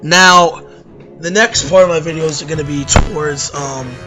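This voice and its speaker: A hoarse young man ranking albums in a rambling, casual talk, full of fillers and self-corrections. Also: a little high, steady tone, normal speed, pushed and bright, faint music behind. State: hoarse from losing voice at a concert. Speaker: YouTube music reviewer.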